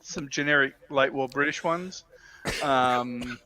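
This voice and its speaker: A man co-hosting a casual livestream chat, speaking in a hesitant, halting way. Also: mid-range voice, sharp tone, poor audio. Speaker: co-host.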